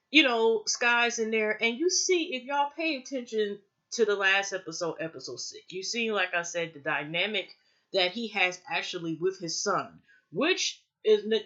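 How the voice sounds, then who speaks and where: A woman's voice: mid-range voice, sharp tone, dull audio. A woman recapping a TV episode in a loose, rambling way, with lots of fillers and restarts. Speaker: TV show reviewer.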